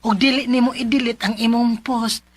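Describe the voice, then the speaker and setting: A woman's voice: a little high, flat delivery, moderate pace, noisy background, lightly acted. A woman acting a concerned young female friend in a radio drama, with a Cebuano accent.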